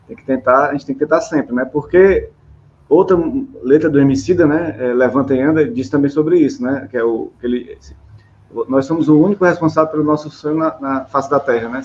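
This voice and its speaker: Male interview host, middle-aged, Brazilian accent, mid-pitched, halting casual talk, lo-fi sound with faint noise. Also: darkish tone. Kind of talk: casual rambling chat. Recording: dull, lo-fi, clear background noise.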